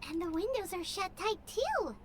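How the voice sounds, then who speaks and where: A woman's voice: animated, normal speed, a little hiss or hum. A woman voicing a small, chirpy, eager fairy companion in a video game. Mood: earnest. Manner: squeaky, eager, refers to self in third person.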